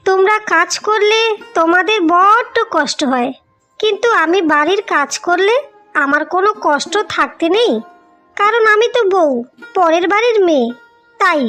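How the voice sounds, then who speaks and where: নারীকণ্ঠে খুব চড়া গলার অসহায় গ্রাম্য বউ. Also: female voice, very high voice, expressive, normal speed, pushed and bright, soft background music, full character voice. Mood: aggrieved. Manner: plaintive, pleading. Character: wronged, heartbroken, pleading young village wife. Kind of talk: melodramatic cartoon voice acting.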